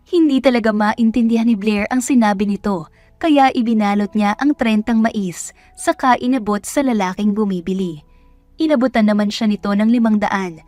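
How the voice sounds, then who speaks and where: A woman's voice: fairly high pitch, darkish tone, no echo. A woman with a Filipino accent reading scripted story narration as a storyteller.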